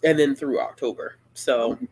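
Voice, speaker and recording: A woman hosting a casual live-stream Q&A, talking in a relaxed, rambling and playful way. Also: rumbling low, normal speed, creaky, breathy edge, poor audio. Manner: laid-back, rambling, playful. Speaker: booktuber host of a live Q&A.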